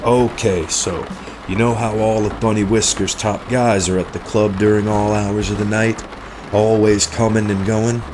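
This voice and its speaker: A man playing a gruff detective in a noir parody, quietly confiding. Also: fairly low pitch, level tone, unhurried, noisy background, close to an everyday voice.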